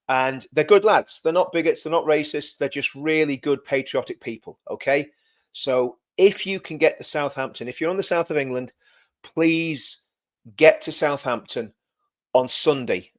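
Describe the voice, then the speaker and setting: A man hosting a casual livestream and talking straight to his viewers. He has a loud voice and rambles, repeating words and correcting himself as he goes. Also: medium pitch. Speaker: livestream host.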